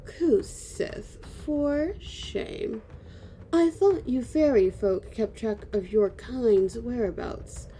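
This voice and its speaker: Elf royal, woman actor: unhurried, soft-toned, quietly superior and teasing, fantasy audio drama, faint ambience behind. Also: on the high side, slight background noise. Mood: smugly amused. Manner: knowing, teasing, measured. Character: sly, amused, composed female elf royal.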